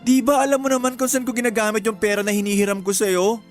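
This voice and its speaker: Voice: medium pitch, little pitch movement, quick pace, faint music behind, natural voice. A performer acting an evasive, defensive boyfriend in a Filipino radio drama, hesitant in delivery. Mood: evasive, pleading.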